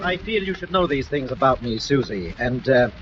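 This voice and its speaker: A man's voice: medium pitch, expressive, normal speed, loud music behind the voice, natural voice. An actor playing a testy, fussy older man in a theatrical 1940s radio comedy style. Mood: exasperated. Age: middle-aged. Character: testy older man, self-declared unpleasant, reluctant suitor. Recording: muffled vintage radio recording, faint hiss.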